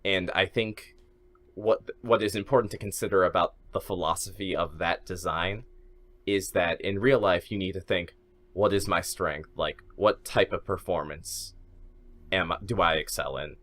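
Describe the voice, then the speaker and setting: Casting: male podcast co-host, very low voice, musing and restarting mid-sentence. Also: steady tone, moderate pace, fairly bright tone. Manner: thinking aloud, repeats words as he restarts. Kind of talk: casual podcast chat.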